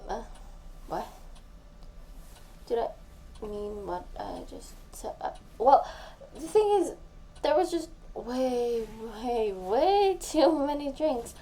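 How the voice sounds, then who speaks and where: A tipsy young woman played by a voice actress pours out her love to her best friend in an intimate audio drama. Her voice is soft, mellow and fairly high, breathy and halting, with slow, slurred words. There is faint noise behind her. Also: huge pitch swings, heavily acted. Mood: flustered, defensive. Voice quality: soft, breathy, often dropping to a whisper. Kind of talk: intimate audio roleplay acting.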